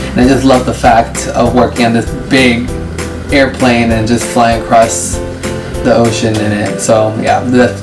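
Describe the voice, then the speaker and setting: Late-20s male vlogger, casual Q&A, explains patiently; distant mic, music playing behind. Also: medium pitch. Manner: chatty, explains step by step. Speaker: vlogger and flight attendant. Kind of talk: casual Q&A vlog.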